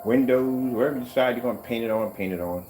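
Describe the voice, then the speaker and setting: A middle-aged man chatting casually while working in a workshop, wandering off topic with animated bursts. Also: medium pitch, quick pace, rough recording. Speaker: screen paint maker.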